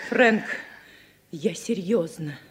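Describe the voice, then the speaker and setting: An actress playing an anxious, insistent wife in a 1960s Soviet radio drama, earnest and pressing in manner. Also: female voice, fairly low pitch, expressive, drawn-out words, slightly rough, heavily acted. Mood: urgent, demanding. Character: anxious, insistent woman confronting her husband.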